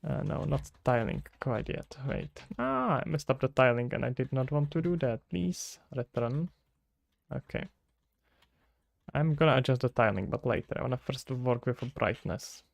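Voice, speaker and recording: A man's voice: medium pitch, expressive, normal speed, vocal fry, dry recording. Czech man muttering through a dev stream. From Czech Republic. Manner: thinks aloud, hums and mutters to himself, reads and answers chat questions. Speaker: game developer streamer. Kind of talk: casual live-stream chat while working.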